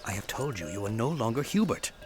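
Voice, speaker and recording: Man playing a stern, measured medieval knight. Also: mid-range voice, big pitch swings, natural voice. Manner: measured, commanding, old-fashioned speech. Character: stern gruff knight guarding a deposed young prince. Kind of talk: audio drama acting. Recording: loud music under dialogue.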